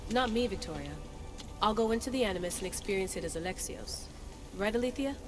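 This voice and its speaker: Woman acting a confident young adventurer girl in a game, mid pitch, reassuring, music behind. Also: expressive, fast talker, lightly acted. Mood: determined. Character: determined, reassuring young adult female adventurer. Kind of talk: scripted game cutscene acting.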